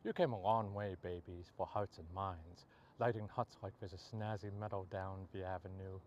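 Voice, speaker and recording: A man reading poetry aloud as a poet, in measured, deliberate short phrases. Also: sits low, steady tone, a little mellow, a little hiss or hum. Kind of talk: poetry reading, recited free verse.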